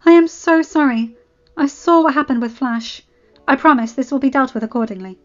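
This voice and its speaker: A woman's voice: high-pitched, a little fast, muffled recording, pitched above the performer's own voice, lightly acted. A woman voicing a nervous, eager, polite female school receptionist in an audiobook story, stammering and apologetic. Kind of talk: fan fiction audiobook character voice. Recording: band-limited audio with background music.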